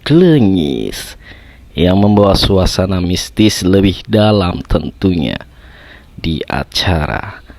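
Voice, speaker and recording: A man with a Javanese accent hosting and telling horror stories radio-style, his voice slightly hoarse from a cold. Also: deep voice, sing-song, slow, a little mellow. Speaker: host of a horror story show.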